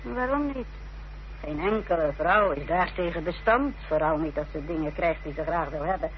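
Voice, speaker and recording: A woman's voice: sits low, lively intonation, normal speed. An actress playing an unrepentant village wife in a Dutch radio play, telling her story in a self-justifying way. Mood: defiant, unashamed. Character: unrepentant, defiant village wife confessing her part in a fraud. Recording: dull old radio recording with hiss and hum.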